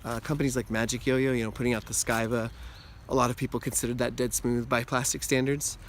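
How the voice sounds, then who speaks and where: A man with a slightly hoarse, under-the-weather voice, talking casually and at a medium pitch. He explains things in a loose, rambling way, full of little asides. A faint hum of room ambience sits behind him. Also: fast talker. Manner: relaxed, rambling explanation with frequent "you know" and "like". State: hoarse from a cold. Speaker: yo-yo channel host. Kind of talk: casual explanatory talk to camera.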